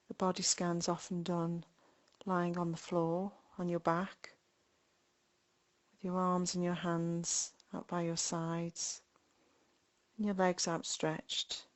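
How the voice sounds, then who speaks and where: A woman leads a guided meditation at a very slow pace. Her voice sits a little low, with a flat, even melody. She is gentle and leaves long pauses between short phrases. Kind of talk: guided body scan meditation.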